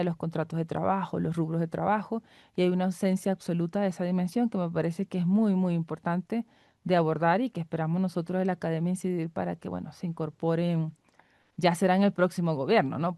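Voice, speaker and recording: Mellow-voiced Venezuelan woman giving analysis. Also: lowish voice, no echo. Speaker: guest expert, political scientist and professor. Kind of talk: spoken analysis in an interview.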